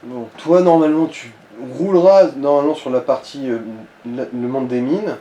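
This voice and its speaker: Mellow man in a roomy, noisy recording. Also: medium pitch, moderate pace, distant mic. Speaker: let's play co-commentator advising the player. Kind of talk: casual gaming commentary, chat. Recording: roomy echo, clear background noise.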